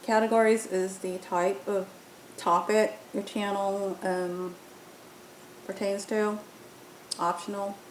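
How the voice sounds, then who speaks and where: A woman's voice: little pitch movement, slow pace, long pauses. A woman presenting an app tutorial, explaining it step by step in an informal walkthrough style. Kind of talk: informal software walkthrough narration. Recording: roomy echo, faint rumbling background noise.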